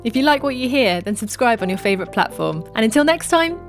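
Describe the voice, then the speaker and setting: A woman's voice: fairly high pitch, big pitch swings, quick pace, faint music behind. A woman hosting an interview podcast, speaking to her guest and listeners. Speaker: podcast host.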